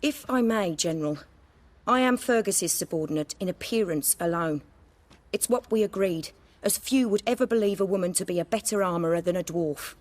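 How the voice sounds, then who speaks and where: An actress playing a proud, determined armorer in a fantasy game, direct and self-assured. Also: female voice, fairly low pitch, a little fast, a little mellow, natural voice. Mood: firm, respectful. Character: proud, determined female armorer, confident in her craft. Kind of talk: fantasy video game acting.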